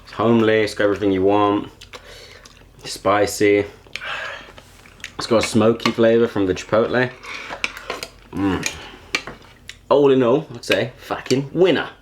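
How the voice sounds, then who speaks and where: A man's voice: lowish voice, a little slow, pauses often, background noise. A young man, chatting casually about his home cooking in a laid-back, slangy, joking way. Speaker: home cook.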